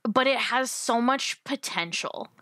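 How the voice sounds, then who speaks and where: A woman's voice: a little high, animated, a little slow, sharp tone, no echo, studio quality. A woman chatting casually about tabletop gaming in an enthusiastic, emphatic, colloquial way.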